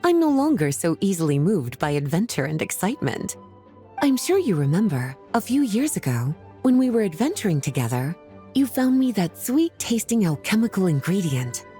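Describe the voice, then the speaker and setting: A woman's voice: mid-range voice, sing-song, moderate pace, mellow, faint music behind, lightly acted. A woman voicing a worldly, self-assured and playful lady in a video game, articulate, teasing and affectionate. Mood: composed, conversational. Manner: teasing, flirtatious, articulate, savoring her words. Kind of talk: scripted video game dialogue.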